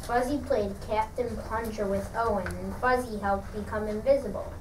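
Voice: medium pitch, fairly even intonation, moderate pace. A child reading scripted story narration aloud as part of a classroom play. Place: school classroom, indoors. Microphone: distant camera. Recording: echoey classroom, distant mic, noisy.

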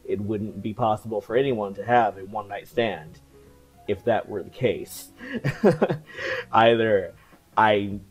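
A person in their late twenties chatting casually to camera in a vlog, relaxed and full of fillers and soft laughs, on a headset mic in a small room. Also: medium pitch. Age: late 20s. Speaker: video creator. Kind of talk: casual talking-head vlog. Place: small cluttered room indoors.

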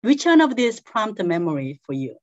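Woman calmly leading an online game. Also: medium pitch, brisk, no room sound. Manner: patient, explaining step by step with soft laughs. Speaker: game facilitator. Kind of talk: casual guiding of an online game. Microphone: video call. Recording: dull, dry video call audio.

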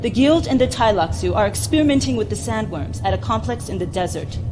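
Actress as cold female adviser, medium pitch, formal, measured, sci-fi game briefing, clear music underneath. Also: lively intonation, natural voice. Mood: grave, clinical. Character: cold, formal woman adviser of a scheming merchant house. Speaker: mission briefing officer. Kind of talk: scripted science fiction game briefing. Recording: music behind the voice.

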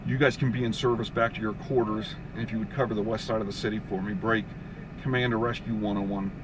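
A man's voice: steady tone, fast talker. A man working as a fire incident commander, giving procedural, clipped instructions in radio dispatch style. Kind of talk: fireground radio dispatch talk. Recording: noisy outdoor scene, vehicle rumble.

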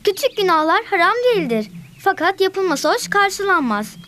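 A woman's voice: extremely high pitch, very animated, moderate pace, fairly bright tone, full character voice. A woman voicing a small dutiful animal pupil reciting in an educational cartoon.

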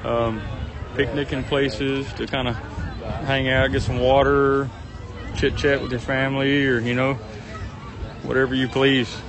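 A man talking into his phone while he strolls an outdoor farm, upbeat and rambling, full of ums and uhs. His voice sits at an ordinary middle pitch for a man. A busy crowd murmurs all around him in the open air. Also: flat delivery, a little slow. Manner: chatty, rambling, enthusiastic, many ums and uhs. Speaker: vlogger. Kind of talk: casual walk-and-talk vlog. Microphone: handheld phone camera. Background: crowd chatter.

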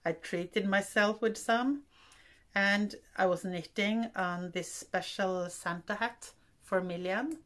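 An older woman from Norway with a Norwegian accent, chatting to camera in a halting way as she searches for English words, in a wooden room indoors. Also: lowish voice, fairly bright tone. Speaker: vlogger. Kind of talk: casual chat to camera.

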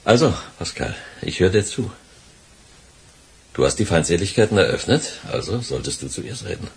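An actor playing a cool, calculating male criminal mastermind in a crime radio drama, gravelly, dry and self-assured. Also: sits low, very animated, moderate pace, exaggerated voice. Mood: guarded, dry.

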